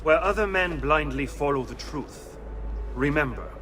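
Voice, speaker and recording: An actor playing a cerebral, formal Renaissance assassin in a video game, speaking deliberately with an Italian accent. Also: male voice, fairly high pitch, expressive, noisy background. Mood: ceremonial. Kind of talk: video game cutscene acting.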